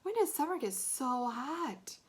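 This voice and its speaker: A woman's voice: high-pitched, big pitch swings, unhurried, pitched above the performer's own voice. A woman reader voicing a casual, teasing fifth-grade boy with slangy, offhand delivery in a children's story. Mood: baffled. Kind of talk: children's audiobook-style read-aloud.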